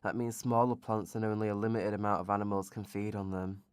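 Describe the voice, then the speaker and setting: A man's voice: on the low side, flat delivery, fast, smooth, dry recording, clean studio sound, lightly acted. A man acting in a radio drama as a grumpy, sleepy spirit of hibernation season, grumbling with weary sarcasm. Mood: dry sarcasm.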